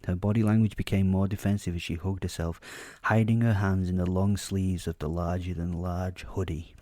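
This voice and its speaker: A man giving brooding, measured first-person narration as a predatory killer in a horror audio drama. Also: low-pitched, level tone, warm tone, dry recording.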